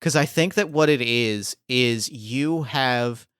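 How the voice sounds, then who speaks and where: Smooth-voiced man running a tabletop game. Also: medium pitch, slow pace, a bit bright, no echo, clean studio sound. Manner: thinking aloud with many fillers and restarts. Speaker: game master. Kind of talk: improvising a tabletop game scene.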